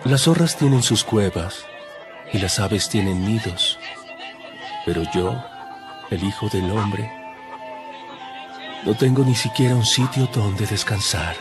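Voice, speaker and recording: A man's voice: fairly low pitch, slow, long pauses. A man playing a solemn, authoritative religious teacher in an audio Bible drama. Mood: solemn, instructive. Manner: solemn, instructive. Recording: music under the voice.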